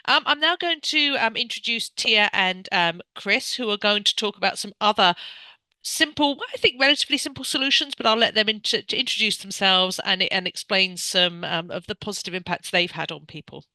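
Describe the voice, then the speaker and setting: A woman's voice: medium pitch, moderate pace, pushed and bright, dry recording, rough recording. A woman hosting a webinar over a video call, gracious as she thanks speakers and hands over. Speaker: webinar host.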